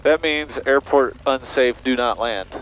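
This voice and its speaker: A man's voice: mid-range voice, unhurried. A man who flies and hosts, talking casually in a cockpit and making radio calls. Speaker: pilot and vlog host. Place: small twin-engine airplane cockpit. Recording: dull, loud airplane engine and cabin noise.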